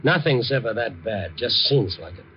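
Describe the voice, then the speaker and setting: A man's voice: fairly low pitch, animated, moderate pace, slight background noise, close to an everyday voice. Actor as a hard-boiled newspaperman, old radio sound. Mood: calm, reassuring. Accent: American. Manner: wry, hard-boiled, understated. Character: tough, wry, kindly male newspaperman narrating his night story. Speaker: narrator and lead of a radio drama. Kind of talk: 1950s radio drama acting, noir first-person narration. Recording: dull, band-limited 1950 radio recording with music.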